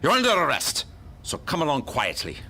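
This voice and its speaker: A woman's voice: low voice, huge pitch swings, normal speed, bright, forceful tone, slight background noise, pitched below the performer's own voice, exaggerated voice. A woman voicing a tough, scowling, blustering male homicide detective with a bullying manner in a pulp detective drama. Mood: sneering, accusing. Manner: gruff, bullying, accusatory.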